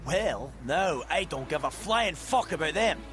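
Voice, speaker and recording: A man playing a blunt, indignant village smith in a video game, excitable and grumbling. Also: on the high side, a little slow. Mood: curt, blunt. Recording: music behind the voice.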